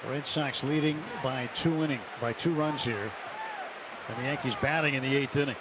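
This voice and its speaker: A man doing live baseball play-by-play on radio in measured phrases with clipped count calls. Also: mid-range voice. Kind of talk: live radio sports commentary. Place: stadium broadcast booth. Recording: noisy live ballpark radio broadcast. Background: ballpark ambience.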